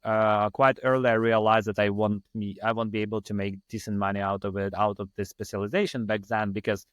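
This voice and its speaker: A man's voice: fairly low pitch, little pitch movement, brisk, clean tone, dry recording, clean studio sound. A man in his thirties from Ukraine with a Ukrainian accent, a podcast guest telling his story in a rambling, self-deprecating way. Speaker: podcast guest, startup founder. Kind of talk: casual storytelling in an interview.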